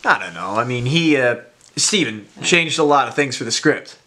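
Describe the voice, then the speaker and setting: A man off camera reading the part of a calm, seasoned actor in a plain, matter-of-fact way. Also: mid-range voice, normal speed. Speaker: off-camera scene reader. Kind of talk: reading lines in a scene.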